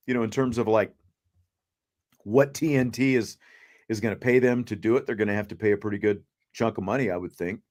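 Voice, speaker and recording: A man hosting a sports talk show, speaking casually in a measured, conversational way. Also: lowish voice.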